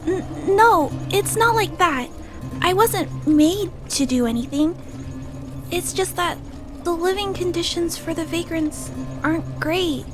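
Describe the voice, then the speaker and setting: A gentle little girl speaks in a high voice with soft, hesitant politeness. She is an earnest video game character, and music plays clearly behind her. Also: unhurried. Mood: hesitant, defensive. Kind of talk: scripted video game cutscene dialogue.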